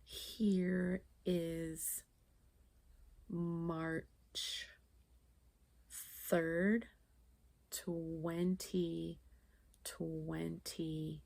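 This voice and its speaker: A woman repeating a tutor's words phrase by phrase in a deliberate way, as a relay for a student. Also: on the low side, little pitch movement, vocal fry, darkish tone. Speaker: mother cueing and repeating a tutor's speech for her son. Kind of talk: word-for-word relaying of a tutor's speech. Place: indoor tutoring center. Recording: very noisy room recording.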